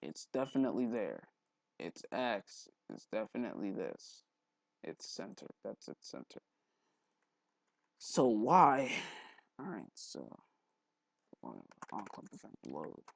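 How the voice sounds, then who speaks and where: A man hosting a casual software tutorial, thinking aloud informally with mild exclamations. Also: fairly low pitch, huge pitch swings, slow, gravelly, long pauses, no room sound. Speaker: tutorial host.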